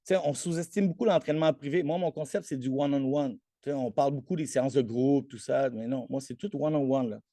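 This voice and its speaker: A self-assured trainer in his forties talks with a Québécois French accent, Spanish being his first language. His voice is mellow and warm, caught dry and close. He boasts freely and trips over his own restarts. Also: mid-range voice, a little fast, hardly any pauses, dry recording. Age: 40s. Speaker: guest, athletic trainer. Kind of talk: casual interview chat.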